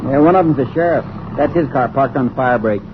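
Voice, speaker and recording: An actor playing an excitable, friendly small-town American man in a radio drama. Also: mid-range voice, quick pace, heavy background noise, close to an everyday voice. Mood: excited. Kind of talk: mid-century American radio drama acting. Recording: dull old radio recording, crowd behind.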